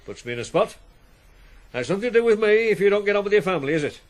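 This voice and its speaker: An actor in a 1980s radio drama playing a stern but fair farm boss, plain-spoken, measured and questioning. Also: male voice, high voice, big pitch swings. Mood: matter-of-fact, concerned. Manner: measured, questioning, matter-of-fact.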